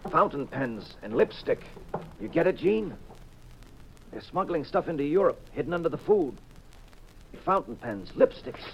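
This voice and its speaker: An actor playing a cool, shrewd private detective, brisk and assured, in a hard-boiled 1950s radio drama style. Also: male voice, fairly high pitch, animated, vocal fry. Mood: excited, deducing. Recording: muffled, hissy 1950s radio recording.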